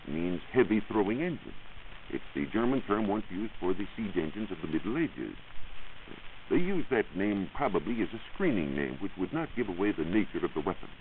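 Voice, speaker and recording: An American man giving a measured, explanatory science talk on 1940s radio as a reporter. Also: deep voice, phone-quality sound. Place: radio studio. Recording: hissy band-limited 1940s radio recording.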